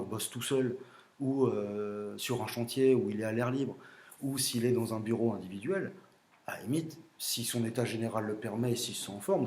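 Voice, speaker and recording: A man's voice: on the low side, a little mellow, echoey room. A man, a general practitioner with a French accent, explaining his medical views in a blunt, opinionated monologue with frequent fillers.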